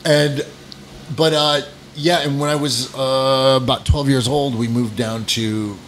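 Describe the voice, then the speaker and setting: A man's voice: mid-range voice, steady tone, unhurried, a bit bright, a little hiss or hum, low-quality recording. A middle-aged man from New England, guesting on a casual podcast, rambling through stories with self-deprecating jokes and many restarts. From New England, United States. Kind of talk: casual storytelling interview.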